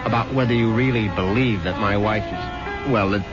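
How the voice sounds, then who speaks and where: A man's voice: fairly low pitch, normal speed, natural voice. An actor playing a nervous, superstitious man who narrates his own story and often stammers. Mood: uneasy. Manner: stammering, anxious. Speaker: first-person narrator. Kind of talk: 1970s radio drama acting. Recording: dull old radio recording, loud music.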